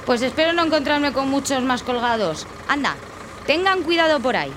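An actress playing a brisk, sardonic, no-nonsense television studio woman in an audio drama, wry and scolding. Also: high voice, expressive, noisy background.

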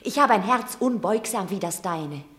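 A woman's voice: medium pitch, lively intonation, moderate pace, a bit bright, reverberant, natural voice. A woman playing a shrewd commanding mother. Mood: urging. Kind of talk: radio drama acting.

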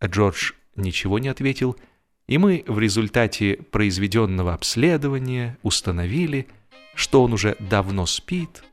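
Guy reading a funny audiobook, kinda low voice, expressive and theatrical delivery. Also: moderate pace, faint music behind. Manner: expressive, acts out the dialogue. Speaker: audiobook narrator. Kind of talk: scripted dramatic narration of humorous fiction.

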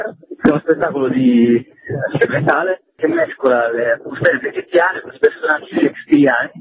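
A man giving explanatory answers as an interview guest, a festival's artistic director. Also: mid-range voice. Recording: tinny phone-like line, roomy echo.

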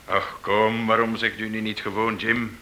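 A man's voice: fairly low pitch, level tone, moderate pace, pushed and bright. An actor playing a forward, cocky man in a radio drama, theatrical and insinuating in manner. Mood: breezy, flirtatious. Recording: noisy old radio play recording.

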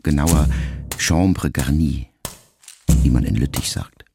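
A man with a very low, gravelly voice reads a literary letter aloud. His delivery is measured and reflective, pausing often between phrases. Clear music plays underneath the narration. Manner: measured, reflective reading with long pauses. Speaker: audiobook reader. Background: soft music.

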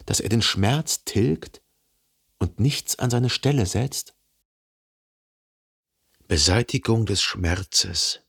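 A jealous, lovesick man narrating his own story in a radio drama. His voice is fairly low. He speaks deliberately and introspectively, as if questioning himself. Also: lively intonation, vocal fry, fairly bright tone, breathy edge, full character voice. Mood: anguished, questioning. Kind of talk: literary radio drama first-person narration.

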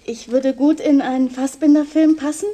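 An actress playing a seductive, mysterious, self-assured woman in a crime radio play, with breathy, teasing, coaxing delivery. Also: high voice, fairly even intonation, normal speed, mellow, lightly acted. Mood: teasing, coy. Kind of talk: 1990s German crime radio play acting.

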